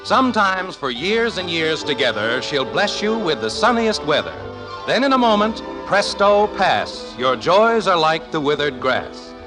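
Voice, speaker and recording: Animated vintage radio announcer over music. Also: male voice, fairly high pitch, measured pace, poor audio. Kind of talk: 1950s radio announcing, scripted narration.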